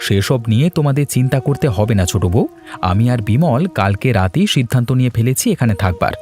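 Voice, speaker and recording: A man's voice: mid-range voice, lively intonation, quick pace, a little mellow, soft background music, lightly acted. A man playing an easygoing adult son in a cartoon family story, good-natured and conversational. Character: easygoing adult son, head of a village household.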